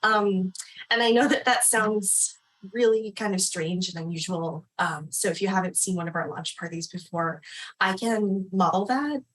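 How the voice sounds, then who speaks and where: A woman's voice: medium pitch, moderate pace, fairly bright tone, low-quality recording. A woman hosting an informal event, speaking casually and off the cuff with hesitant, loosely phrased sentences. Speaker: event facilitator and host. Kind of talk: casual unscripted hosting of a literary launch.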